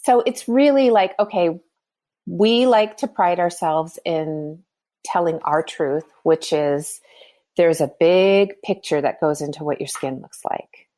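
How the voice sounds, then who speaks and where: Woman, fifties, brand founder, podcast guest, earnest, explanatory, lowish voice. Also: takes it slowly, warm tone. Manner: earnest, explanatory, many restarts. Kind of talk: conversational podcast interview.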